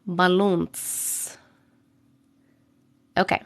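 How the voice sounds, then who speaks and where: A young adult woman live streaming, chatting with viewers and reading stories, her voice a little rough from a cold. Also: on the low side, flat delivery, very slow. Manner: chatty, greets viewers by name between sentences. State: voice a bit rough from getting over a cold. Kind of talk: casual live stream chat and story reading.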